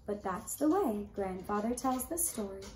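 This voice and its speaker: A woman in her thirties narrating a children's story for a puppet show, with gentle, deliberate phrasing, recorded from a camera in a room. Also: medium pitch, expressive. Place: indoor puppet stage in a decorated room. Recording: roomy echo, clear background noise.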